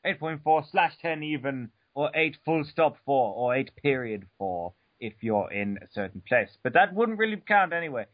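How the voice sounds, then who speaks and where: A man's voice: medium pitch, expressive, moderate pace, hardly any pauses, no room sound. A man giving an over-the-top dramatic reading of an article, stumbling over words and breaking off for joking asides, on a dull lo-fi home recording. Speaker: reader. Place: room at home.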